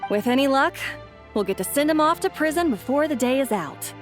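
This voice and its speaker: An actress playing an earnest, dutiful young policewoman in a video game, with a crisp official manner. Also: high-pitched, lively intonation, brisk, faint music behind. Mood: relieved, dutiful. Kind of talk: dramatic game voice acting.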